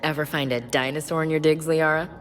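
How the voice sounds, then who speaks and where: A woman playing a plain-spoken, steady soldier in a video game. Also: sits low, fast talker, natural voice. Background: background music.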